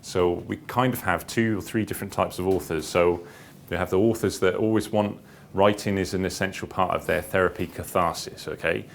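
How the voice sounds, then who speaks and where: Deep-voiced man speaking at a seminar. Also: fairly even intonation, a little fast, hardly any pauses. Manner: hesitant, repetitive, circling back through his phrases. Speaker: seminar speaker, publisher and founder. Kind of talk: informal seminar talk. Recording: clear background noise with low rumble.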